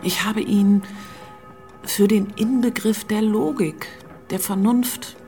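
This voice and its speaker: A woman's voice: medium pitch, steady tone, slow, mellow, soft background music. An actress playing a proud, resolute and scornful woman of politics in a radio play, speaking intensely. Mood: urgent.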